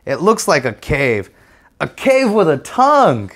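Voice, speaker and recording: A man's voice: on the high side, wildly swooping pitch, unhurried, a bit bright, pitched above the performer's own voice, full character voice. A man putting on an eager lisping little girl bear cub. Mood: amazed. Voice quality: lisping around a loose tooth. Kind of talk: children's storybook read-aloud.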